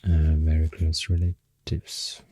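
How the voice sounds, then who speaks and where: A man with a Swedish accent doing soft-spoken ASMR, half-whispering in a hesitant, unhurried ramble close to the microphone. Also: a bass voice, completely flat delivery, breathy edge, no echo. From Sweden. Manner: hesitant, unhurried, halting rambling in short phrases. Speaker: ASMR creator and host. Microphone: close, dry microphone.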